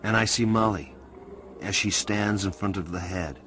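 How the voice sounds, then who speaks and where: An actor playing an intense hacker, narrating in the first person in a lyrical radio drama style. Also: male voice, sits low, moderate pace, very noisy, lightly acted. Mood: tense. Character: intense male hacker narrating his own cyberspace ride.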